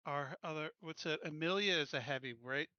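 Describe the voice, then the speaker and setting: A man's voice: a little high, fairly quick, sharp tone, dry recording, highs cut off. A man chatting casually in an online tabletop game session, thinking aloud as he organizes things. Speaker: player assigning crew stations. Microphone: close computer mic, online voice call.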